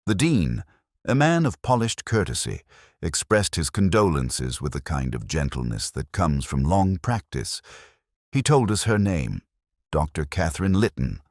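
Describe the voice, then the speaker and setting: A man narrating a scripted first-person detective story in a measured, solemn, literary manner. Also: low-pitched, a little mellow, dry recording, clean studio sound.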